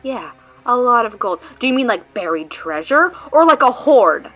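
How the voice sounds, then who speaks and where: A woman's voice: fairly high pitch, very animated, normal speed, dull audio, faint music behind. A woman voicing a sarcastic, exasperated female guide who swears often, in a fan audio drama.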